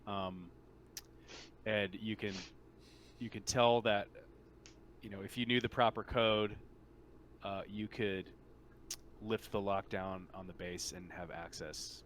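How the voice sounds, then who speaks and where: A man's voice: lowish voice, steady tone, slow pace, pauses often, fairly bright tone, a little hiss or hum. A man working as game master, describing scenes in a conversational, improvised way for a tabletop roleplaying game.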